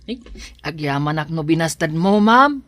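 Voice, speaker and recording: A man's voice: fairly high pitch, expressive, measured pace, fairly bright tone, no echo, studio quality. Man playing a humble Ilocano young sweetheart. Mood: devoted, earnest. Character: humble, devoted poor young man in love. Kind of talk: audio drama acting.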